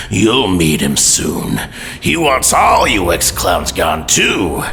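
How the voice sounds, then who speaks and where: A slow, snarling savage villain. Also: male voice, low voice, gravelly, bright, forceful tone, a little hiss or hum, heavily acted. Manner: menacing, mocking, drawn-out. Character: savage, sadistic, taunting male mutant villain. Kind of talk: audio drama acting. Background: dramatic music.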